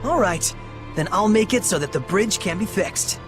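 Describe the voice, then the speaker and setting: An actor playing an earnest, kindhearted young shepherd hero in a video game, speaking casually and sincerely. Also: male voice, high-pitched, lively intonation, moderate pace, over music. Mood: determined. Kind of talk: English video game dub acting.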